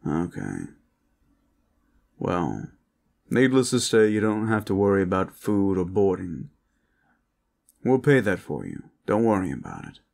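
A man playing a weary, serious and cautious protector in an audio roleplay, speaking in a measured, earnest way. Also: sits low, huge pitch swings, vocal fry, darkish tone, full character voice. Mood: calm, earnest.

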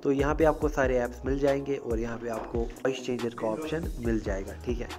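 A man's voice: medium pitch, brisk. A man with an Indian accent giving a casual tutorial voice-over as a video host, informal and often checking in with a short tag phrase. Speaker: YouTube tech tutorial host. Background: music.

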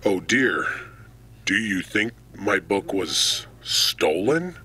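A man's voice: low voice, very animated, very slow, sharp tone, exaggerated voice. A man voicing a gloomy, courteous author of spooky books in a children's radio drama, speaking theatrically. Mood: worried.

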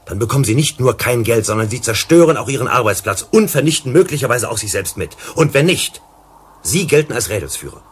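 An actor playing an earnest, persuasive psychologist in a German sci-fi radio drama, explaining and pleading. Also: male voice, mid-range voice, very animated, a little fast, breathy edge. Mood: urgent. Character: earnest, persuasive male company psychologist and negotiator.

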